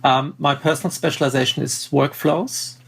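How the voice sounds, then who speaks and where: A man's voice: on the high side, steady tone, normal speed, vocal fry, slightly rough. A middle-aged man with a German accent, a podcast guest talking in bursts, with many fillers and restarts. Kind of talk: podcast interview.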